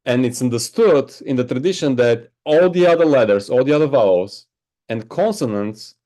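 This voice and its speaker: A man speaks at a mid-range pitch in an interview. He sounds like a meditation teacher, explaining things slowly and thoughtfully, and he keeps adding "right?" to check that he is understood. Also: expressive, warm tone. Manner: slow, reflective, explaining with many "right?". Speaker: spiritual teacher. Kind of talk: interview answers. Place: home on a Hawaiian island.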